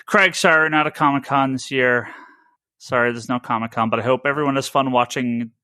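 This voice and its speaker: A man with a very bright, forward voice at an average pitch hosts a casual podcast. He talks in an informal, rambling, conversational way. The sound is close and dry. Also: steady tone, brisk, no echo. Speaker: podcast host. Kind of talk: casual podcast chat with listener shout-outs and plugs.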